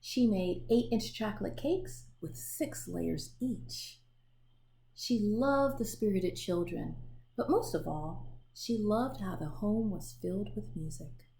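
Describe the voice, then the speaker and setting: A woman reading a children's picture book aloud in an expressive storytelling manner, pausing to show the pictures. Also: medium pitch, gravelly, poor audio. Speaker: storybook reader.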